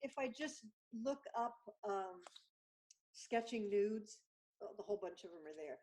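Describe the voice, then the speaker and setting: A woman guest chatting over a video call, telling stories with lively acted-out dialogue. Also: medium pitch, measured pace, a little hiss or hum. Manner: animated storyteller, acts out dialogue. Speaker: guest artist. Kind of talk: casual video chat.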